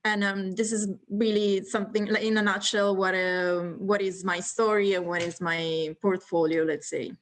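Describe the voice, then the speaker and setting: A woman's voice: medium pitch, little pitch movement, moderate pace, hardly any pauses, slight background noise. A woman in her twenties from northeast Italy with an Italian accent, answering questions as a guest on a video call, friendly, with many fillers. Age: 20s. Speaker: guest speaker.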